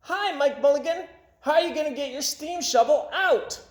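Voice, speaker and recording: A man voicing excitable storybook townspeople, calling out loudly in exclamations. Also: very high-pitched, moderate pace, echoey room, voice pushed up. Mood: puzzled, calling out. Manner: loud, exclaiming.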